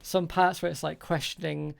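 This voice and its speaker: A game master with a creaky voice and a hesitant delivery, improvising tabletop roleplay as a shopkeeper. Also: medium pitch, flat delivery, normal speed.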